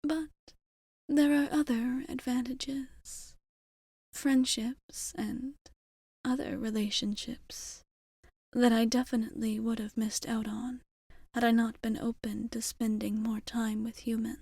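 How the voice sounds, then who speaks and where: A woman voicing a gentle, wistful, centuries-old female vampire in a soft, breathy, whispery ASMR roleplay, with measured, formal wording close to the mic. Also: fairly high pitch, little pitch movement, dry recording, heavily acted. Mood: calm, musing. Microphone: close microphone.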